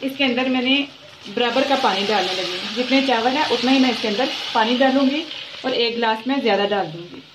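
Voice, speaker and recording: A woman with a fairly high voice walks through a recipe step by step, unhurried and repeating herself as she cooks. Her voice rings in an echoey home kitchen while frying and sizzling from the pot sound clearly underneath. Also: noisy background. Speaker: cooking channel host. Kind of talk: step-by-step cooking instruction.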